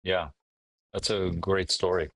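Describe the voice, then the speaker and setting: A middle-aged man chatting casually as an interview guest, agreeable and somewhat halting. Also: sits low, level tone, gravelly, fairly bright tone, no echo. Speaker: podcast guest, finance professional. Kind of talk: casual conversation.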